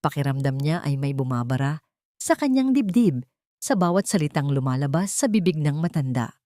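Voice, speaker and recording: A woman's voice: low voice, animated, moderate pace, dark tone, no echo, clean studio sound. A woman reading a dramatic story as a scripted audiobook narration.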